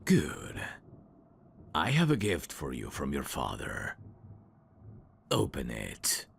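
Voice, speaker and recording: A man playing a suave, devoted demon servant of hell's royalty, speaking formally and deliberately in an ASMR audio drama. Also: huge pitch swings, a little hiss or hum, exaggerated voice. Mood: courtly, tender. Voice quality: gravelly, very deep. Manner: formal, courtly, slow and deliberate.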